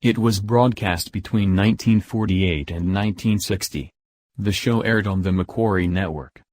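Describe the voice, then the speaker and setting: A man's voice: lowish voice, moderate pace, darkish tone. A man presenting scripted documentary narration.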